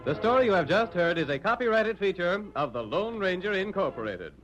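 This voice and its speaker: A male announcer delivers dramatic old-time radio narration in a high voice. Loud music plays behind him, and the vintage recording is muffled. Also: sing-song, a little fast.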